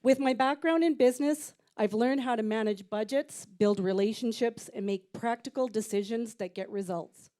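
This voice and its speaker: A woman with a fairly high but mellow voice gives her campaign speech as a town council candidate. She speaks in an earnest, measured and proud way, in a community rec center hall.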